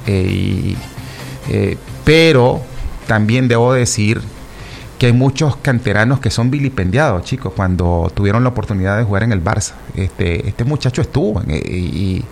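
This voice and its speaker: A man's voice: mid-range voice, gravelly. A man giving his football opinions to his regular listeners, rambling with restarts and fillers, calling them "chicos". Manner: rambling, repeats himself, many fillers and restarts. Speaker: football commentator. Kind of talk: casual opinion talk.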